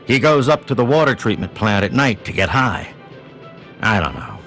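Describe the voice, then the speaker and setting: Gruff, grudging wasteland town doctor, animated. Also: male voice, lowish voice, moderate pace. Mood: grudging concern. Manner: curt, grumbling, blunt. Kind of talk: video game character dialogue. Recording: music playing behind the voice.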